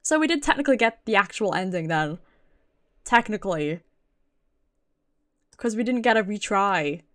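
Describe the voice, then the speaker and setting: A woman's voice: medium pitch, lively intonation, moderate pace, fairly bright tone. A British woman streamer chatting casually and expressively with her viewers, swearing freely. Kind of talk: casual livestream chat. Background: background music.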